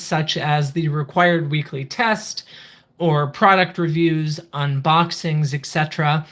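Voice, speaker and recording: Casting: channel host for a casual livestream review, mid-pitched voice, methodical step-by-step explainer. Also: a bit bright.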